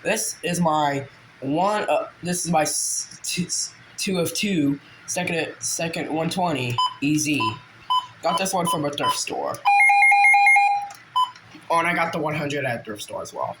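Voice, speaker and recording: A man giving a casual, halting show-and-tell, informal and often trailing off. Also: on the high side, animated. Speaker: hobbyist video creator. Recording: noisy home video with clicks.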